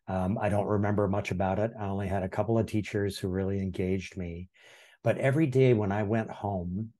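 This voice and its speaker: A man in his sixties from Hawaii, telling his life story in a relaxed, reflective way as a podcast guest, with frequent ums and restarts. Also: lowish voice. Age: 60s. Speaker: podcast guest, former history teacher. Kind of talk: casual interview storytelling.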